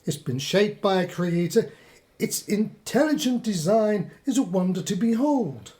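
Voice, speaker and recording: Earnest British husband, lively pitch. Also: male voice, measured pace. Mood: earnest, quietly elated. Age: middle-aged. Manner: earnest, pedantic explaining. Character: earnest middle-aged husband, newly converted conspiracy believer. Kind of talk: radio play acting.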